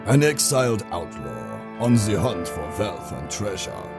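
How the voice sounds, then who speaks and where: A man acts a solemn storyteller narrating a dark fantasy tale. His very deep voice is slow and theatrical, with dramatic rises and falls over clear music. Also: big pitch swings, full character voice. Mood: ominous, theatrical.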